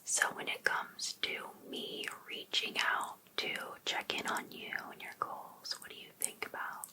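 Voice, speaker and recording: Woman playing a slow-whispering, possessive female life coach. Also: pushed and bright. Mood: possessive, insistent. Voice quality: breathy unvoiced whisper. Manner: coaxing, deliberate, insistent. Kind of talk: ASMR roleplay.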